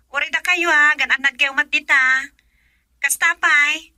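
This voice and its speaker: Isang babaeng gumaganap bilang anak na dalagang nasasaktan sa pag-ibig, na may punto ng Ilocano, sa isang radio drama. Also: female voice, sits high, fairly even intonation, unhurried, heavily acted. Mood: emotional. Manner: emotional, shifts from soft sighs to loud pleading. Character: young woman torn over love, daughter.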